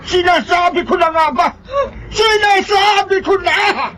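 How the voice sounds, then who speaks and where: An actor with a Filipino accent playing a grave, commanding folk healer in a radio horror drama. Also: male voice, very high voice, unhurried, sharp tone, slight background noise, exaggerated voice, low-quality recording. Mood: alarmed, urgent. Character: grave, commanding male folk healer fighting evil spirits.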